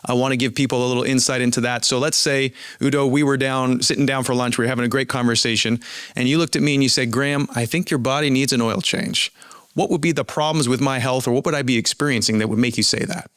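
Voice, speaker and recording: A man's voice: medium pitch, steady tone, fast talker, fairly bright tone, smooth, no echo, clean studio sound. A man with a Canadian accent hosting a podcast, chatting in a friendly, enthusiastic and affirming way. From Canada, west coast. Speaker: podcast host. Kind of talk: friendly casual interview chat.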